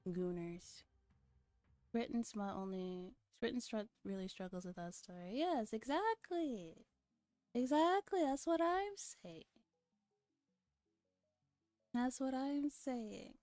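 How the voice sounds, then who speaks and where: Slow-talking, giggly young woman streamer. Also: fairly high pitch, animated, a little mellow, no room sound, faint music behind. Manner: giggly, informal, rambling, teasing. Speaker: livestreamer. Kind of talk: casual livestream chat with viewers.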